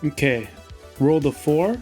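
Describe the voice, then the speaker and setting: A man playing a tabletop game, explaining his moves and describing action in a deliberate, casual way. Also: a little high, animated, darkish tone, soft background music.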